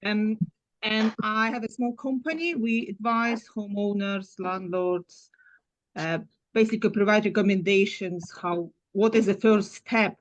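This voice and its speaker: A woman's voice: mid-range voice, a little slow. A woman with a Ukrainian accent introducing herself as a retrofit assessor on a webinar video call, speaking in a direct, matter-of-fact way. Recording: muffled video call audio.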